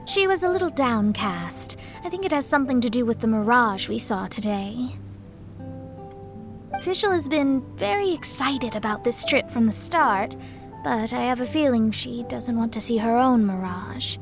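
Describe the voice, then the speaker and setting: A woman's voice: on the high side, animated, moderate pace, music in the background. A woman voicing a composed, articulate, self-assured young female astrologer in a video game. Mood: concerned, confiding. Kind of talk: English video game voice acting.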